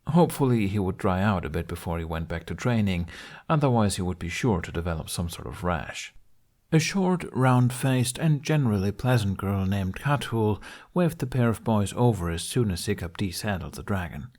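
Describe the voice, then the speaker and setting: Man, lower-pitched voice, scripted story narration, audiobook reader. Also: lively intonation, moderate pace, a little mellow.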